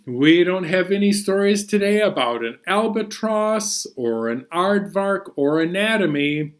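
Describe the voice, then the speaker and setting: An American man hosting a story time for young children, playful and exclaiming as he introduces songs and stories. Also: fairly high pitch.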